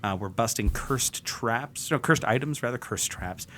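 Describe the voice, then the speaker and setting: A man's voice: mid-range voice, a little slow, a bit bright, slight background noise. A man co-hosting a podcast in casual, bantering chat with a playful, joking manner. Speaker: podcast co-host.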